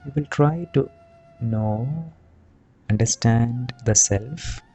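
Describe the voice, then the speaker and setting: A man leads a guided meditation as a teacher, speaking soothingly and deliberately in short phrases with long pauses. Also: mid-range voice, warm tone, breathy edge. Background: background music.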